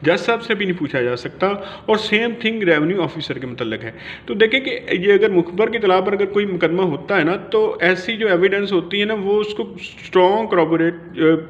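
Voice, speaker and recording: Male law lecturer, Pakistani accent, high voice, halting informal explainer delivery with English terms, lo-fi recording. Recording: dull, lo-fi audio.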